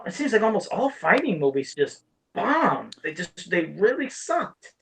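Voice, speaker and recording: A man's voice: high voice, big pitch swings, a little slow, low-quality recording. Frank man in casual podcast chat. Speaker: podcast co-host. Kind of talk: casual chat about video game movies.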